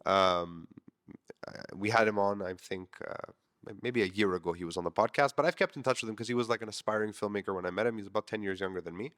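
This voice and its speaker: A man's voice: lowish voice, fast, fairly bright tone. A man in his thirties with a congested, nasal voice, hosting his podcast in a candid, rambling monologue that swears freely. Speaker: podcast host. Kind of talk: casual monologue.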